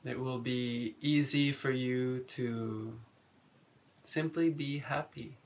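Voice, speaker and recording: A man guiding a meditation in soothing phrases with long pauses between them. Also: medium pitch, steady tone, slight background noise. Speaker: meditation teacher. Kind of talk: guided meditation.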